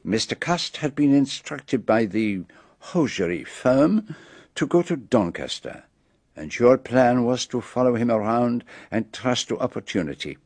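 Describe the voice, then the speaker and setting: An actor playing a brilliant, self-assured foreign detective with a French accent, explaining precisely and theatrically in a radio drama. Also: male voice, mid-range voice, expressive, a little slow, darkish tone, no echo. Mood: methodical, accusing. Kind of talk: BBC radio drama acting.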